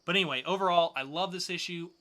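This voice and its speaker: Guy podcast host, bright punchy voice, chatting through comic reviews, excited and slangy. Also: high-pitched, fast talker. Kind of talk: casual comic book review.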